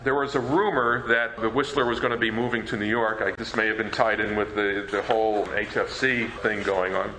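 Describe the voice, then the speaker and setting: A man giving an informal talk as a presenter, in a halting, stammering manner. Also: fairly low pitch, steady tone, bright, forceful tone. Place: presentation room at a convention. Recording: echoey room, faint noise.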